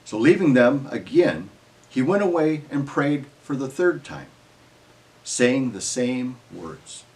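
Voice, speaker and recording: A man narrates scripture as drama, measured yet expressive. His mid-pitched voice moves widely up and down and sits slightly back from the microphone. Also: recorded from a distance, rough recording.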